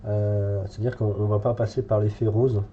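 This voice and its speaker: A man's voice: on the low side, little pitch movement, moderate pace, dark tone, dull audio. Stuffy french guy w a cold painting minis live, lots of umms, faint hiss behind. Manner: hesitant and chatty, many fillers, thinking aloud while painting. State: has a cold, congested. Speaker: miniature painting tutor.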